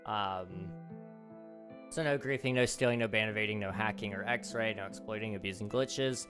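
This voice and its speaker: A man's voice: lowish voice, moderate pace, over music. A man hosting a casual gaming livestream, rambling and chatty with lots of fillers, his voice slightly strained as he starts to lose it. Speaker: livestream host.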